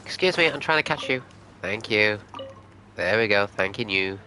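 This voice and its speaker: A man's voice: on the low side, expressive, moderate pace, pushed and bright, slight background noise, rough recording. A man livestreaming a video game, giving commentary and reading game dialogue aloud over game music.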